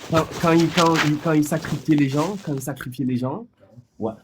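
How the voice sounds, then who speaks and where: A man in a heated online debate, talking haltingly, repeating words and insisting on his point. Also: on the high side, background noise. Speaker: debate participant.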